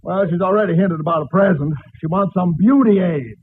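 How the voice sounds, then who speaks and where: An actor playing a blustering, pompous henpecked husband who complains and exclaims in 1940s radio comedy style. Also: male voice, high-pitched, brisk, phone-quality sound, close to an everyday voice. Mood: exasperated grumbling. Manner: complaining, exclamatory comic delivery. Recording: noisy, thin old-time radio recording.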